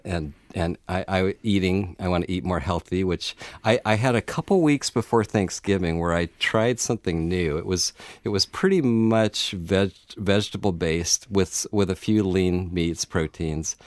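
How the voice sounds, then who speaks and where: A man's voice: deep voice, no room sound. A middle-aged man with an American accent co-hosting a talk show in a casual, conversational, agreeable way. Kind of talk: casual chat.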